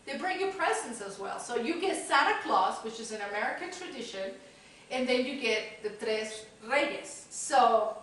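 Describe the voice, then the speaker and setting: An upbeat woman presents a cooking show in a chatty, casual way. Her voice sits a little high. She is recorded at a distance in a kitchen that echoes heavily. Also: expressive, moderate pace, a bit bright, slight background noise. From Mexico. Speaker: cooking show host, chef. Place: home kitchen, indoors. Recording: very echoey room, distant camera mic.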